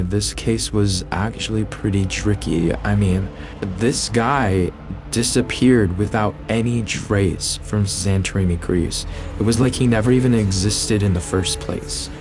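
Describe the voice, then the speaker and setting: A man playing a cool private investigator in an amateur voiced roleplay, speaking in a deliberate, dramatic, businesslike way. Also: fairly low pitch. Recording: music behind the voice.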